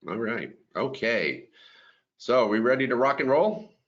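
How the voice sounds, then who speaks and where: A man's voice: medium pitch, expressive, a little slow, a bit bright, dull audio. A man in his fifties hosting a career webinar, upbeat and friendly as he runs polls and moderates. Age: 50s. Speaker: webinar host.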